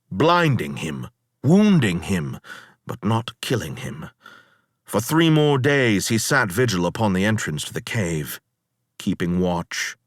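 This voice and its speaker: A man's voice: fairly low pitch, dry recording, studio quality. A man performing a weary, proud ancient Greek hero who recounts his sea voyages as theatrical dramatic poetry, in a grave, declamatory manner. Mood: intense, urgent, then grave storytelling. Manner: grave, declamatory, deliberate storytelling with dramatic swells.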